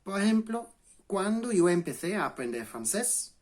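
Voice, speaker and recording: A man in his late twenties from England, a native English speaker with an English accent, giving a relaxed spoken lesson to camera and pausing often to restart with fillers. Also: high voice. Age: late 20s. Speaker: language channel host.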